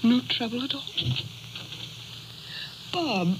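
An actress playing a tender, grieving mother in an old radio drama, speaking in a sorrowful, halting way. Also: fairly high pitch, lively intonation. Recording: dull, noisy old radio recording.

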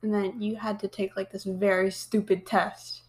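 A young American woman hosts a relaxed podcast chat. Her speech is loose and filled with ums and "like", and her voice sits at an ordinary pitch for a woman. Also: normal speed, low-quality recording. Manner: chatty, many fillers and likes. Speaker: podcast host. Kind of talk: casual chat.

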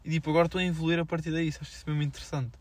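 Fast-talking young Portuguese man, slangy. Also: on the high side, little pitch movement. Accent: European Portuguese. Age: young adult. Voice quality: slangy, filler-heavy speech. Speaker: podcast co-host. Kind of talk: casual unscripted chat.

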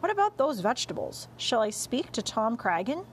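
A mild storybook dad, voiced by a woman, explains things patiently with a touch of bemusement. The intonation is lively, over faint background noise. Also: on the high side, moderate pace. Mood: practical. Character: mild, reasonable suburban father explaining things. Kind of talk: audiobook character voice.

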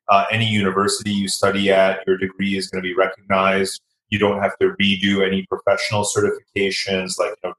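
A man's voice: low-pitched, fairly bright tone, low-quality recording. A man speaking as an interview guest and author, giving conversational expert commentary in an articulate, explanatory way with emphatic repetition.

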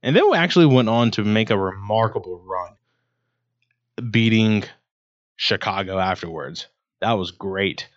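A man's voice: fairly low pitch, animated, unhurried, long pauses. A man hosting his own sports talk show, rambling casually with many ums and restarts. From Ohio, USA. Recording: dull lo-fi sound.

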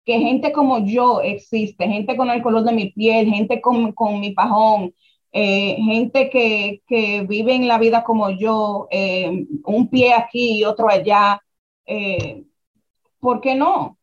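Young Dominican woman, Dominican accent, animated interview guest, highish voice, muffled lo-fi recording. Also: slow pace. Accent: Dominican Spanish. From Dominican Republic. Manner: animated, many restarts and rhetorical questions. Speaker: guest, founder of a writers' platform. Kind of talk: casual interview conversation. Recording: dull lo-fi sound.

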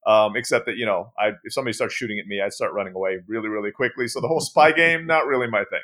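A man's voice: fairly low pitch, very fast, a bit bright. A man with an American accent, a native Turkish speaker, chatting as a podcast guest and laughing easily. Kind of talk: casual interview chat.

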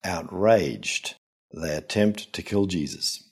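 An older man, a priest and scholar, giving a scripted gospel reflection in a measured, solemn, emphatic way. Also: low-pitched, lively intonation, husky.

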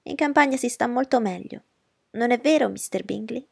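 A woman voicing a fussy, boastful middle-aged mother who speaks in a high, effusive way, with a gravelly, husky voice. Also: moderate pace, a little mellow, dry recording, studio quality. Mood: gushing. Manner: shrill and effusive.

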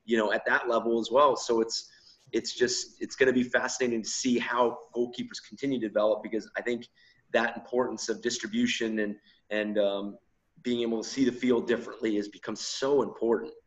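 A man's voice: mid-range voice, little pitch movement, a little fast, fairly bright tone. A man co-hosting a podcast, talking casually in long, winding sentences with frequent restarts. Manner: discursive, restarts mid-sentence. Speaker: podcast co-host, coach. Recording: muffled lo-fi audio.

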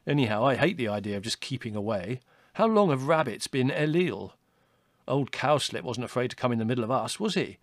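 A man reading a storybook, voicing a steady, cheerful young male rabbit. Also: medium pitch, a little fast, no room sound, clean studio sound, lightly acted. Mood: eager.

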